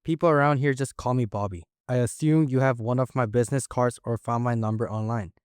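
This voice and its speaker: A man voicing a friendly, loud charter boat owner who talks in an easygoing, chatty way. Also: medium pitch, fairly even intonation, a little fast, smooth, no room sound, clean studio sound, natural voice. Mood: upbeat.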